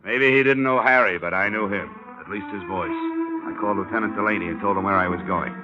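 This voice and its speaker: An actor playing a tough, wisecracking American investigator in a 1950s radio drama, with a dry delivery. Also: male voice, on the low side, a little fast, lightly acted. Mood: dry narrating. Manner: dry, wisecracking, hard-boiled. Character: wry, tough male insurance investigator telling his case. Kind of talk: 1950s radio detective acting and narration. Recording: dull old radio recording under loud music.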